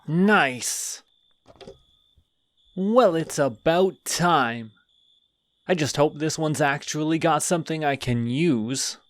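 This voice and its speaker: A man's voice: a little high, slow pace, pauses often, a little hiss or hum. A man voicing a friendly, chatty male skeleton who rambles sheepishly in an audio roleplay. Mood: grumbling. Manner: rambling, sheepish, talks to himself.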